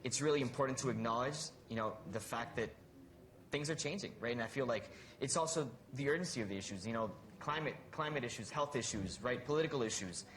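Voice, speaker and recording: A young man with a medium-pitched voice gives his view on a panel. He talks conversationally and thoughtfully, often seeking agreement as he goes. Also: fast, fairly bright tone, slight background noise. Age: young adult. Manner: thoughtful, frequently seeks agreement. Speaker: youth activist panelist. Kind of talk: panel discussion, conversational opinion. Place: live conference panel.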